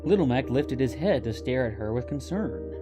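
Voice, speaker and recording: A man's voice: medium pitch, expressive, normal speed, music in the background. A man narrating a scripted story as the host of a fiction reading.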